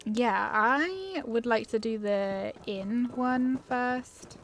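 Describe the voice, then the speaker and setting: A woman with a fairly high voice gives casual let's play commentary. She is chatty and informal, thinking out loud through the game as its host. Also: extremely slow, soft background music. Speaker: gaming video host.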